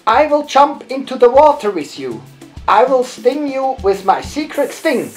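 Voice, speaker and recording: A man's voice: extremely high pitch, big pitch swings, unhurried, faint music behind, pitched above the performer's own voice, heavily acted. A man voicing a cajoling, pleading male jackal in an African bedtime folk tale. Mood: threatening.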